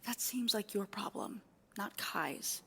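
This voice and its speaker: A woman's voice: medium pitch, animated, slow pace, vocal fry, bright, forceful tone, slight background noise, full character voice. A woman playing a guarded, authoritative nurse at a sinister institute in a horror audio drama. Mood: guarded, stern.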